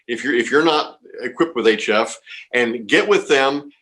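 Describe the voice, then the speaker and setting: A man's voice: medium pitch, normal speed, a bit bright. A man being interviewed as a contest organizer, explaining things informally and reassuringly, often repeating words and phrases.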